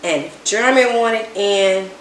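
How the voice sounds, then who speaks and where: A woman reading a children's story aloud with expressive, animated first-person storytelling. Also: mid-range voice, unhurried. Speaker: storybook reader. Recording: roomy echo, faint background hiss.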